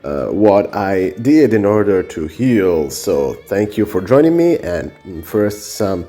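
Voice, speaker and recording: A man's voice: on the low side, very animated, slow, warm tone, soft background music. Italian-accented man talking with many fillers. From Italy. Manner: hesitant, many fillers and restarts. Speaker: podcast host. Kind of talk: unscripted solo podcast talk. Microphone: cell phone.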